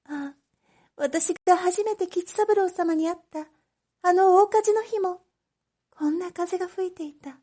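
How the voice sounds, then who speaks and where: A woman's voice: very high-pitched, unhurried, mellow, voice pushed up. A woman voicing an earnest, impulsive, lovestruck teenage merchant's daughter in a storybook reading, with polite and emotional delivery. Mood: wistful, desperate. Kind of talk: storybook read-aloud acting.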